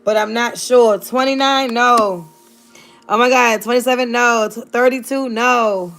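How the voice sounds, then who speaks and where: Sassy, high-voiced woman in her thirties. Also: fairly even intonation, unhurried, a bit bright, soft background music. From Virginia, United States. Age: 30s. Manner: sassy, playful, talks straight to her chat. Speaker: livestreamer. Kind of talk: casual live-stream chat with viewers.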